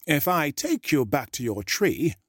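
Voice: mid-range voice, very animated, unhurried, fairly bright tone, slightly rough, dry recording, studio quality, exaggerated voice. A storyteller voicing a gullible, simple-minded shark in a children's tale. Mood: earnest. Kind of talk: storybook reading.

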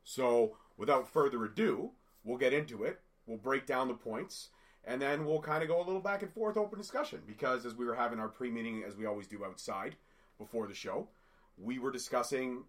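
A man with a voice gone raspy from a cold co-hosts a casual chat podcast. He talks at length and rambles in an animated way, with a pitch that sits in the middle for a man. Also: fairly quick. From Canada. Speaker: podcast co-host.

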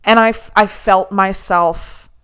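Woman co-host, casual food-podcast chat, loud and expressive, clear smooth tone, swears freely. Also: medium pitch, slow. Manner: loud, animated, swears a lot. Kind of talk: casual restaurant review chat.

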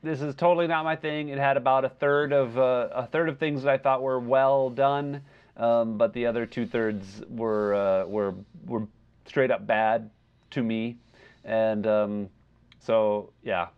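Male podcast co-host, average pitch, casual and halting reviewer, muffled lo-fi recording. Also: steady tone, clear, resonant tone. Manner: halting, filler-heavy, backtracking explanations. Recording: dull lo-fi replacement microphone.

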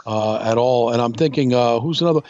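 A man's voice: mid-range voice, brisk, darkish tone, no echo, lo-fi sound. A man co-hosting a podcast in casual talk, speaking emphatically and repeating himself for effect. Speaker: podcast co-host.

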